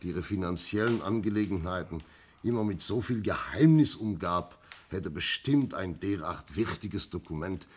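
A man acting a shrewd, self-assured police inspector in a 1960s German radio play, explaining deliberately and emphatically. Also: low-pitched, lively intonation, husky. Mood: firm, deliberate.

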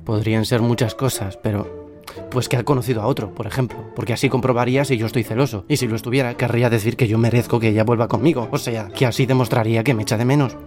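A man's voice: medium pitch, steady tone, fast, music in the background, close to an everyday voice. A man with a Castilian Spanish accent playing a lovesick, overthinking contestant in a comic radio fiction, earnest and melancholy. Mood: glum, earnest.

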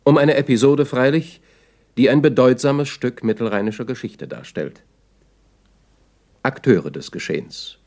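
A man's voice: medium pitch, lively intonation, unhurried, creaky, long pauses, darkish tone. A man narrating a 1960s German radio play as a sober chronicler, with formal scripted announcing.

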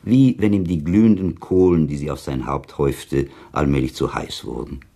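A man playing a worldly, coolly ironic sceptic in a German radio play, with a gravelly, husky voice and deliberate, argumentative delivery. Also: a bass voice, fairly even intonation, dark tone.

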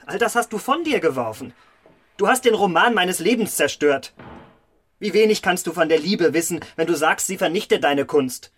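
An actor playing a cold, vain young gentleman in a literary radio play, speaking with cutting contempt. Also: sits high, lively intonation, moderate pace, breathy edge. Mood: bitter scorn.

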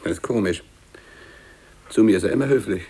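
An actor in a 1950s German radio play, playing a harried, irritable young office clerk who stammers, mutters and answers curtly. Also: male voice, on the low side, brisk, gravelly, mellow, slightly rough, low-quality recording. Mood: deferential, hesitant. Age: young adult.

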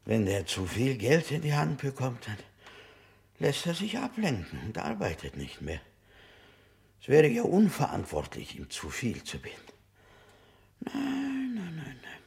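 A shrewd old bookseller in a radio drama. His voice is very husky and crackly, sliding widely in pitch. He talks down to people like a schoolmaster, muttering as he calculates. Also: wildly swooping pitch, measured pace, long pauses, a little mellow, exaggerated voice. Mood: calculating. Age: older. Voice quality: husky, gravelly. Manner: schoolmasterly, condescending, muttering and chuckling.

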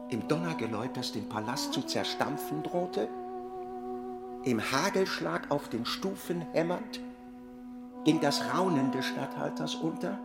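Man reading a radio play real slow, echoey, creaky voice, music behind. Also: fairly high pitch. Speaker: narrator of a radio drama. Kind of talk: slow dramatic literary narration.

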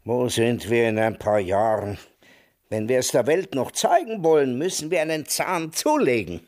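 A man's voice: mid-range voice, lively intonation, moderate pace, darkish tone, natural voice. An actor playing a querulous, ranting old man in a radio play, declaiming with an Austrian accent and swinging from mutters to outbursts. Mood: stubborn.

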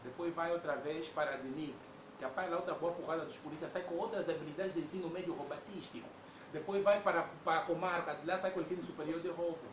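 A man's voice: on the high side, fairly even intonation, quick pace. Emphatic Angolan man in an echoey hall. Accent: Angolan Portuguese. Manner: emphatic, rhetorical questions, indignant. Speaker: debate speaker. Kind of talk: public debate talk. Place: debate hall indoors. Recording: echoey room with background noise.

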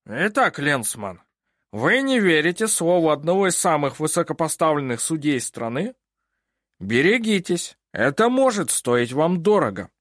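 A man's voice: fairly high pitch, very animated, a little slow, a bit bright, no echo, studio quality, voice pushed up. A man voicing a haughty, furious older male judge in an audiobook, barking and blustering. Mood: raging.

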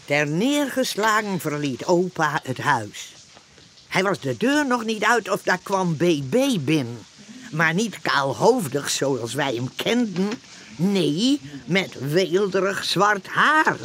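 An animated male storyteller narrates a radio play. His voice sits high and swings widely in pitch. He takes his time over each sentence. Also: slow, a little hiss or hum, heavily acted. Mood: storytelling.

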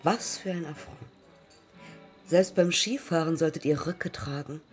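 An actress playing a dreamy, wry woman aviator in a German radio play, speaking in sparse, measured phrases. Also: low-pitched, soft background music.

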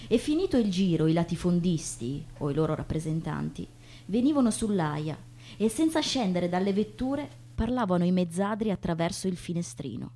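A woman reading literary prose as a stage performer, with deliberate, emphatic phrasing. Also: on the low side, sing-song, dark tone. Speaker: stage reader.

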